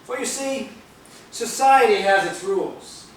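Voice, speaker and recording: A man on stage playing a menacing, smug corporate tyrant and stern father, lecturing pompously with deliberate pauses. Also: high-pitched. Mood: condescending, smug. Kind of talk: theatrical stage acting. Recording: distant, echoey theater videotape with noise.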